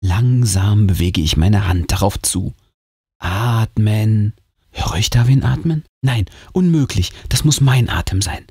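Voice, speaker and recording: A man's voice: fairly low pitch, a little slow, dark tone, husky, no echo, clean studio sound. A man reading a scary story aloud as host, in a theatrical, suspenseful audiobook manner.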